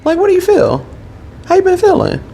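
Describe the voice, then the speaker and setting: A woman co-hosting a podcast in casual chat, animated and quick to laugh, with a naturally low voice. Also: vocal fry. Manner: animated, laughs often, repeats herself. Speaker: podcast co-host.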